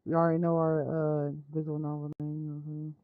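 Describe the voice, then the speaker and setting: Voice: medium pitch, completely flat delivery, quick pace, dry recording, narrow, tinny audio. An American streamer of indeterminate-sounding sex talking informally to viewers, muttering and swearing in a casual live-stream style. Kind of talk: casual live game stream chat with read-aloud visual novel text.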